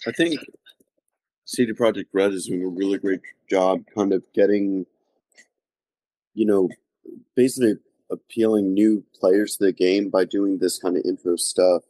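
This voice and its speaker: Male guest, casual talker. Very low register, soft dark tone. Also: steady tone, moderate pace, long pauses, no room sound, rough recording. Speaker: podcast guest. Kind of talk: casual chat.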